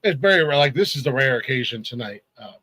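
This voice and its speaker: A man's voice: mid-range voice, lively intonation, quick pace, pushed and bright, dry recording, low-quality recording. A man in his forties hosting a music podcast, chatting casually, stammering and laughing as he rambles. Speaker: podcast host. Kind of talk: casual chat.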